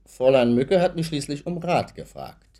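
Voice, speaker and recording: An actor playing a principled lawyer in a radio play, formal and polite but questioning and sceptical. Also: male voice, fairly high pitch, very animated, normal speed, warm tone. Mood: polite, reserved. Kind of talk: German radio play acting.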